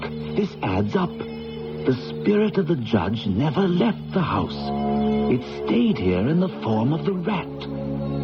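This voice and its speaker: An excitable, stubborn believer in the supernatural, marveling and emphatic. His fairly high voice swoops dramatically and moves at a deliberate, slow pace, on a muffled old radio recording. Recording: dull lo-fi radio recording, loud music.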